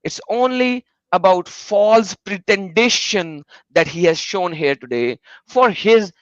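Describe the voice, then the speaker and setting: A man argues his case in a live debate Q&A, indignant and combative. His voice sits high for a man. The sound is dry and dull, like lo-fi online call audio. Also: animated, takes it slowly, no room sound.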